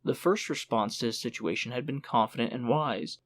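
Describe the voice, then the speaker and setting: Mid-pitched male audiobook narrator, dry sound. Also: fairly quick, no echo. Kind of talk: scripted literary narration.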